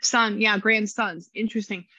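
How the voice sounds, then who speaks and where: A woman with a low voice and a slight rasp, chatting through a spirit reading and passing on messages. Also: fairly even intonation, normal speed, pushed and bright, no room sound, highs cut off. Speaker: psychic medium.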